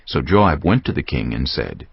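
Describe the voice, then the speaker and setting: A man narrating scripture as a dramatic story, measured and solemn. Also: extremely low pitch, big pitch swings, creaky, slightly rough, dry recording. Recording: slightly dull sound, music underneath. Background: background music.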